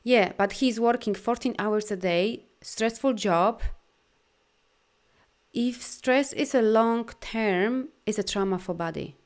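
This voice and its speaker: A woman with a Polish accent, a native Polish speaker, leading a live video talk as a life coach. She speaks in an animated, encouraging way with loose grammar and many "you know"s. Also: on the high side. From Poland. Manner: soft, slow guidance during breathing. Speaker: life coach and energy healer.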